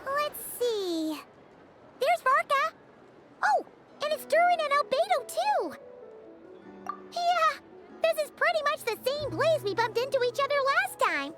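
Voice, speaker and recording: Squeaky, excitable little fairy companion. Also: female voice, unhurried, pauses often, over music, exaggerated voice. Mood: chatty. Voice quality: squeaky, childlike. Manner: exclamatory, expressive. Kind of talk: anime game voice acting.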